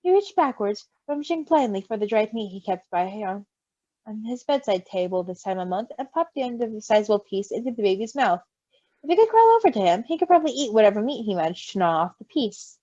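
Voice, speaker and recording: A woman's voice: mid-range voice, fairly quick, no room sound. A woman reading fan fiction aloud expressively, with gushing, casual asides. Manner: expressive, gushing, giggly reactions. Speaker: story reader. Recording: dull, lo-fi close recording.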